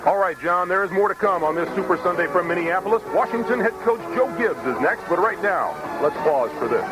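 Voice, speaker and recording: A man's voice: high-pitched, lively intonation, fairly quick, poor audio. A man hosting a radio sports pregame show and putting questions to a guest. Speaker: sports broadcast host. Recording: taped AM radio broadcast, music behind.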